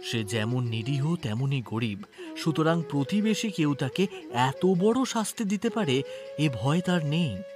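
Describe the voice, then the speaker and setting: A man narrating a literary story dramatically, in a measured and expressive way. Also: on the high side. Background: background music.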